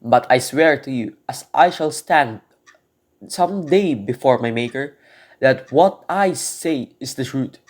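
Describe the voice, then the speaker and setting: A man's voice: mid-range voice, lively intonation, slow. A man playing an uneasy burglar in an amateur radio drama, with an earnest storytelling manner. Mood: solemn testimony. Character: uneasy male burglar, earnest in telling his tale.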